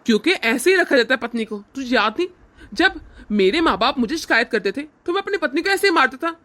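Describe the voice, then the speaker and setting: A woman's voice: high-pitched, sing-song, fast, fairly bright tone. A woman voicing a tyrannical old father-in-law. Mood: contemptuous. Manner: scornful, threatening, barking orders. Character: harsh domineering older man, a tyrannical father-in-law. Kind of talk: Hindi cartoon family drama dialogue.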